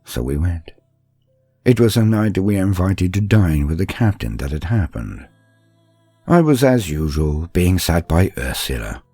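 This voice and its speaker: A man acts out an earnest, bulky young warrior-psyker telling his own story. His voice is low and husky, with dramatic swells and drops and solemn, formal, deliberate phrasing. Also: very animated, dark tone, dry recording, soft background music, heavily acted. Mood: measured. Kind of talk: dramatic first-person story narration.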